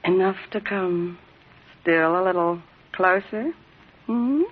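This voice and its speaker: Female, sultry radio temptress: husky, breathy, coy pauses, dull vintage broadcast sound. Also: lowish voice. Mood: sultry, coaxing. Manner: coy, breathy, lingering hesitations. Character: sultry, bold, flirtatious woman murder suspect. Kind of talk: 1940s radio detective drama acting. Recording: muffled old radio recording.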